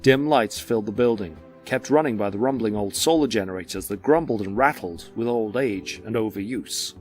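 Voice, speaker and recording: Male narrator, lowish pitch, measured delivery, scripted audio drama, faint music underneath. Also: darkish tone.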